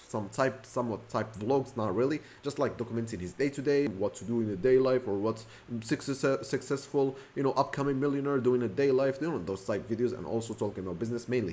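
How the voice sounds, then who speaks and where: A man's voice: medium pitch, a little mellow. A young man from Morocco, whose first language is Moroccan Arabic, speaks English with a Moroccan accent in a casual, rambling vlog, correcting himself often and speeding up in bursts. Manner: rambling, self-correcting, fast bursts with fillers. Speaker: vlogger. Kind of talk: casual talking-to-camera story.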